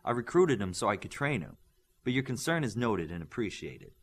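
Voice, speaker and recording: A man voicing a stern, exacting male superhero coach in an audiobook, speaking in a formal, measured manner. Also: lowish voice, big pitch swings, slightly rough, exaggerated voice. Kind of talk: audiobook character voice.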